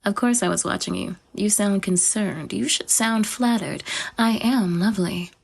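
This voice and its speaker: A woman voicing a vain, possessive goddess in an audio roleplay, with a breathy, whispery voice and a self-adoring, teasing manner. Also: medium pitch, moderate pace. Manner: self-adoring, teasing, sing-song swings up. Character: vain, possessive female goddess, adult, obsessive.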